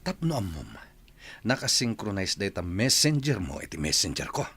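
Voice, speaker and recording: A man's voice: lowish voice, very animated, normal speed, fairly bright tone, husky, full character voice. A man with a northern Philippine accent playing a hot-tempered, domineering, suspicious husband in a radio drama, barking his questions. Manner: barking, interrogating.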